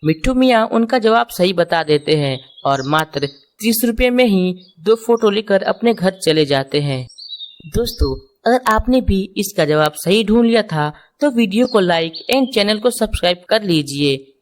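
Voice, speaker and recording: A woman's voice: mid-range voice, expressive, normal speed. A woman reading scripted children's stories and puzzles, explaining things and speaking directly to the viewers. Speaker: narrator.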